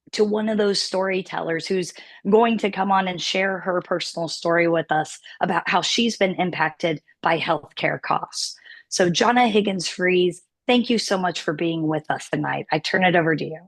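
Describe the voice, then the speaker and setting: A woman with a hoarse, tired voice hosting a virtual town hall as an organization's president, speaking with an emphatic, repetitive rallying cadence. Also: lowish voice, moderate pace. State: hoarse, losing her voice. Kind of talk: rallying political speech.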